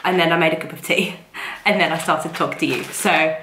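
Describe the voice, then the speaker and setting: Young woman, stuffy nasal cold voice, lowish pitch, chatty vlog, roomy echo. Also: a little fast, fairly bright tone, a little hiss or hum. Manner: chatty and rambling, many ums and likes. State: congested with a cold. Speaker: vlogger. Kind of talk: casual chat to camera. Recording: echoey room.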